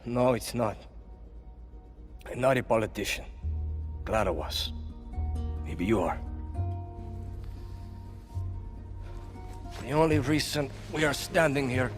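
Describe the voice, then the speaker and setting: An actor playing a fierce, loyal young guerrilla fighter in a cinematic video game, speaking bluntly and earnestly. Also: male voice, medium pitch, measured pace, long pauses, natural voice. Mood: resolute. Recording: loud music behind the voice.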